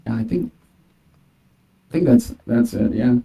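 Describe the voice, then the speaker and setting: A man's voice: medium pitch, big pitch swings, measured pace, creaky, mellow, breathy edge. A man appearing as a guest musician, answering in a hesitant way with many fillers. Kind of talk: casual interview talk.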